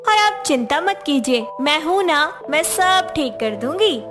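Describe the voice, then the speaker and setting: A woman plays a patient young wife in a storybook drama, soft-spoken and devoted. Her voice is high and unhurried, and music plays clearly underneath. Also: expressive. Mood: gentle. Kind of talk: storybook voice acting.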